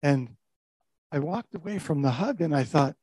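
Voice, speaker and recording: An older man, a pastor, recounts his own story in a warm, mellow voice. He talks reflectively, with small restarts along the way. The sound is close and dry. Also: on the high side, expressive, moderate pace, breathy edge, dry recording. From Canada. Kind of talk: personal testimony talk.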